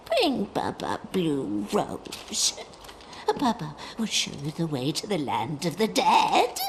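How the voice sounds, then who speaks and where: A crazed old hag from a dark fantasy game, swooping wildly from low mutters to shrieks. The speech is very drawn out, wheedling and broken, in a gravelly cackle over faint noise. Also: female voice, full character voice, rough recording. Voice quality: creaky. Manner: cackling, sing-song, broken speech in third person. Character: crazed, wheedling old witch, craving youth. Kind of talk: video game character acting.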